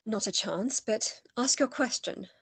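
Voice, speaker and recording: Prim wry victorian young lady, kinda high breathy husky voice, radio play. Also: unhurried, dry recording, full character voice. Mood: wry, coy. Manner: proper, wry. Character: polite, firm young Victorian gentlewoman, coolly rebuffing suitors.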